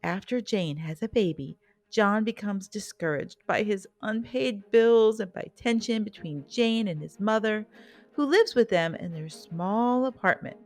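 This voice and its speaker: A woman's voice: mid-range voice, animated, a little slow. A woman reading scripted documentary narration as the host of the programme. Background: soft background music.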